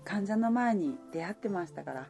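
A woman's voice: mid-range voice, animated, normal speed, creaky, mellow, faint music behind. A woman playing a romantic, easily flustered working woman, in radio drama dialogue and first-person narration. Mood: warm, reflective.

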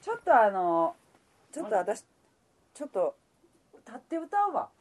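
A woman's voice: medium pitch, big pitch swings, normal speed. A woman with a Kansai accent bantering casually during drama recording outtakes, often flustered and giggly as she stumbles over lines. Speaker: amateur radio drama writer and performer.

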